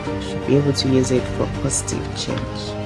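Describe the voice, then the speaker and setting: A woman's voice: a bass voice, level tone, brisk, creaky. Young woman over music. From Nigeria. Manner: earnest recitation. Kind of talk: short recorded personal statement. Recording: voice over loud background music.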